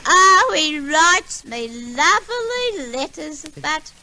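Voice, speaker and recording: An Australian actress playing a touchy, proud, dim working-class household helper in an old-time radio comedy, with ungrammatical speech and fussy cooing. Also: female voice, very high-pitched, very animated, slow pace, a crowd in the background, exaggerated voice. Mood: chatty, proud. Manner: ungrammatical, indignant, cooing baby talk. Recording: noisy old radio recording.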